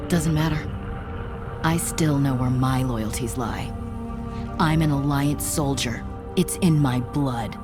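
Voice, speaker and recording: A woman's voice: extremely low pitch, slow pace, long pauses, music in the background. A woman playing a loyal, proud and distrustful soldier in a dramatic video game. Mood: bitter, resolute.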